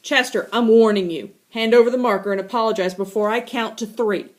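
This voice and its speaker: A woman's voice: a little high, sing-song, unhurried. Woman playing a stern, fed-up author. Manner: loud, stern, scolding.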